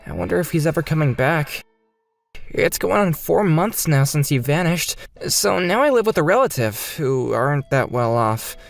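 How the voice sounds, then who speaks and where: A woman's voice: low-pitched, expressive, moderate pace, vocal fry, no echo, soft background music. A woman voicing an earnest, bewildered fifth-grade boy who narrates his own story in a manga dub. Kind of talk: scripted first-person narration in a manga dub.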